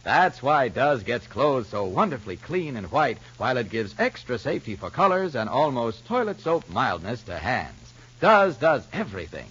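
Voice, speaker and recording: Polished persuasive pitchman, male, vintage ad style, animated delivery, dull archival sound. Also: normal speed, few pauses, husky. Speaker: commercial announcer. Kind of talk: 1950s advertising read. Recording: muffled vintage broadcast recording.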